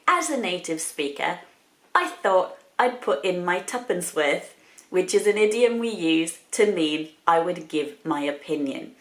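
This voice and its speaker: A woman's voice: mid-range voice, echoey room. A woman, an English teacher chatting her way through a grammar point with examples. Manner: chatty, explains step by step with examples. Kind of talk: explaining grammar to learners.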